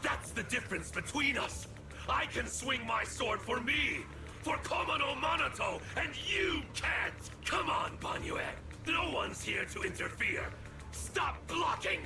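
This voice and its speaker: A man playing a brash, proud young swordsman in a video game, gravelly and cocky, with an intense, taunting delivery. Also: very high-pitched, animated, unhurried, raised voice, music in the background, heavily acted. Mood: furious, taunting. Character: brash, proud young male swordsman rival, stubborn and aggressive.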